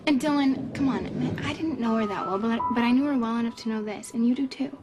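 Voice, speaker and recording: A woman's voice: on the high side, quick pace, background noise, close to an everyday voice. An American actress playing a headstrong young woman, pleading and insistent, in a soap opera style. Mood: impassioned, pleading. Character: headstrong young woman set on defending her great-grandmother's honor. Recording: dramatic music behind the dialogue.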